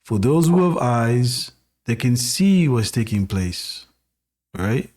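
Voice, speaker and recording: A man hosting a spiritual podcast, speaking haltingly with many restarts and a sermon-like build. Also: mid-range voice, big pitch swings, a little mellow. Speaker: podcast host.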